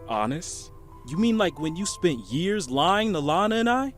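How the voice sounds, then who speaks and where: Betrayed friend, high voice, sarcastic n accusing, audio drama, bit of music. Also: male voice, big pitch swings, unhurried. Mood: bitter, accusing. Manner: sarcastic, confrontational. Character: bitter, accusing friend who feels betrayed.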